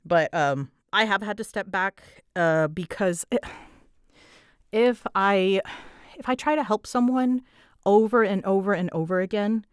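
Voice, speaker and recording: A woman's voice: mid-range voice, measured pace, clean tone, dry recording, clean studio sound. A woman host rambling casually to her audience, with a bouncy, dramatic, giggly and self-deprecating way of talking. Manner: animated, bouncy, dramatic storyteller delivery. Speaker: voice actress and host answering audience questions.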